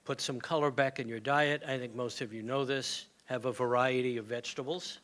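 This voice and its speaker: An older man shares practical health advice with a community gathering in a plain, conversational way. His voice sits at an ordinary middle pitch. He speaks through a microphone in a hall. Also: fairly even intonation, brisk, a bit bright. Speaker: community moment presenter. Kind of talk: informal health talk to a gathering. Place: meeting hall with audience.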